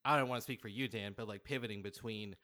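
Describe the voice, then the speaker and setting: Male guest, bright punchy tone, dry sound, casual talker who acts out quotes. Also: fairly low pitch, steady tone, fast talker, dry recording. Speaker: podcast guest, audio drama writer-director. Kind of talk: casual chat.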